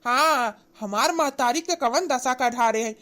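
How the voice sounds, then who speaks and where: An angry village husband and devoted son voiced by a woman for cartoon comedy. The voice is high, with an Awadhi accent. The delivery is callous and hectoring. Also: measured pace, a bit bright. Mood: resentful. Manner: hectoring, repetitive scolding.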